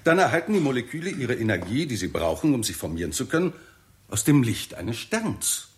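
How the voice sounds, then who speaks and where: An actor plays a male scientist in a sixties radio play. He lectures earnestly and deliberately in a medium-pitched voice, with very lively intonation as he builds his case. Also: close to an everyday voice. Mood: patient arguing. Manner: deliberate, lecturing, hesitant openings. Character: earnest, methodical male scientist defending bold theory. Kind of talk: 1960s German radio drama acting.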